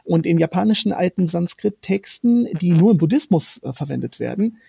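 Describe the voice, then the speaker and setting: Gravelly, husky young man with Romani roots. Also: high voice, animated, warm tone, no room sound, highs cut off. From Germany. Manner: halting, pausing often to find words. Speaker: guest. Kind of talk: interview conversation.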